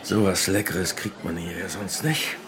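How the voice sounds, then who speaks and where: A man's voice: deep voice, fairly even intonation, measured pace, crowd noise. An actor playing a placating, courteous middle-aged father in a radio play.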